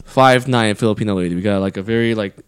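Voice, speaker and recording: A man's voice: fairly low pitch, fast talker, crowd noise. A man in his twenties, swearing freely and laughing often as he tells stories on a casual podcast chat. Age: 20s. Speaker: podcast host. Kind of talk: casual chat among friends.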